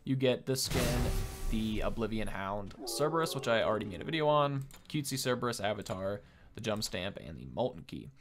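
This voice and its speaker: Casting: male gaming creator, lowish voice, informal and enthusiastic, talking casually over loud game music. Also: big pitch swings, fairly quick. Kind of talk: casual gameplay commentary. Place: small indoor room at home, on webcam.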